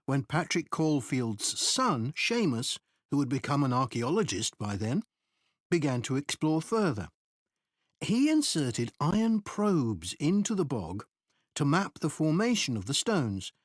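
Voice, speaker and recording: A man giving a scripted academic presentation in a measured, explanatory way. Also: mid-range voice, no room sound, studio quality. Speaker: presenter in a recorded exam listening test.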